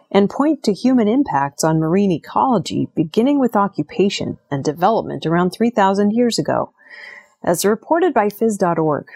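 A woman's voice: on the low side, creaky, darkish tone. A woman reading scripted news narration as the presenter of a news program. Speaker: news reader.

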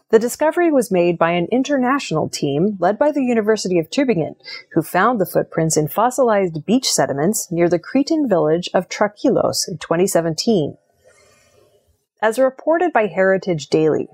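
A woman presenting scripted news narration in a measured, explanatory way. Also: medium pitch, a little mellow, breathy edge.

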